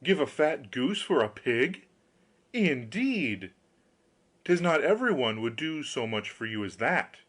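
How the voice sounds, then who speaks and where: A man's voice: mid-range voice, sing-song, measured pace, fairly bright tone. A man voicing a sly countryman who feigns friendly concern, in a folksy, confiding and persuasive storybook manner. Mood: grudging.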